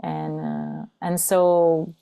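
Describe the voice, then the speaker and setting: A woman whose first language is Portuguese, speaking English with a light accent over a video call. Her voice sits low and mellow. She restarts her sentences often and pauses on fillers as she searches for words. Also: very animated, gravelly, clear, resonant tone. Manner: halting, many restarts and fillers. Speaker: trainer for mothers. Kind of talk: casual video-call interview.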